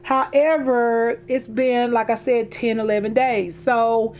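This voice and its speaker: A hoarse middle-aged woman chatting casually to her viewers from her car, calling them y'all and repeating herself as she talks. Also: a little high, steady tone, slow, a little mellow, a little hiss or hum. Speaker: vlogger.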